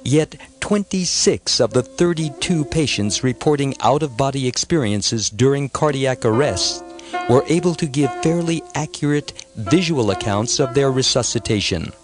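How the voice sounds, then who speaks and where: A man's voice: mid-range voice, expressive, moderate pace. Man narrating an audiobook over music.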